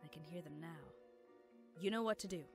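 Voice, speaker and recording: A woman's voice: on the low side, a little fast, a little mellow, faint music behind, natural voice. A woman voicing a patient, menacing cartoon pony villain, a mother plotting in a conspiratorial tone. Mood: scheming.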